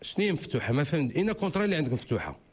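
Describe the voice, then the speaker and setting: Fast-talking Moroccan man, husky voice. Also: fairly high pitch, very animated. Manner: fast, insistent, repeats himself. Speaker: legal expert answering callers. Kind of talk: radio call-in advice.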